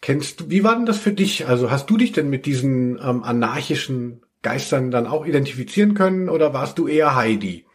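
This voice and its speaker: A man with an average-pitched voice talks casually as a podcast co-host. He speaks as if to a friend, rambling a little and working out his thoughts as he goes. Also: lively intonation, a little fast, few pauses. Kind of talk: casual chat between friends.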